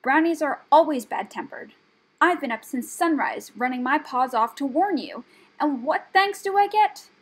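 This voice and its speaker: A woman's voice: high voice, expressive, moderate pace, fairly bright tone, pitched above the performer's own voice. Woman putting on a peevish, snappish female rat. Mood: urgent, indignant. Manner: muttering, hissing, exclaiming indignantly. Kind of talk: audiobook character voice.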